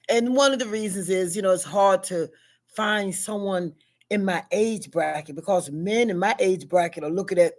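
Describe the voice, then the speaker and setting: A woman in her sixties with a deep, heavy voice, chatting casually in a vlog, rambling with many fillers and questions to herself. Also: vocal fry. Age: 60s. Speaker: vlogger. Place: at school.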